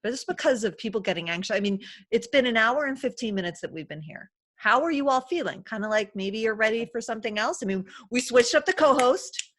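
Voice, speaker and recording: Bright, chatty woman hosting a live stream. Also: on the high side, animated, fairly quick. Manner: chatty, laughing, lots of fillers. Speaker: host. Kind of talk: casual live-stream chat.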